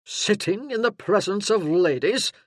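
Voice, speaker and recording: Narrator voicing a gruff male sergeant, loud, commanding, barking orders, old soldier. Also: high-pitched, animated, a little slow, no echo, clean studio sound, voice pushed up. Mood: stern. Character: gruff burly ex-army sergeant, stern and loud.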